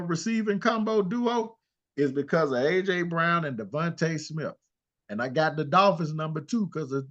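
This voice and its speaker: An older man co-hosting a casual sports talk show, animated and trash-talking as he rattles off stats. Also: fairly high pitch, highs cut off. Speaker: co-host.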